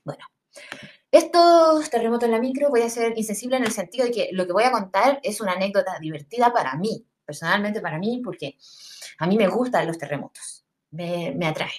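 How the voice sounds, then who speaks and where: A woman's voice: mid-range voice, fairly quick. A woman in her thirties from Chile, with a Chilean accent, telling a funny personal story in a rambling, slangy way with swearing. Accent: Chilean Spanish. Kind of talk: casual comic storytelling.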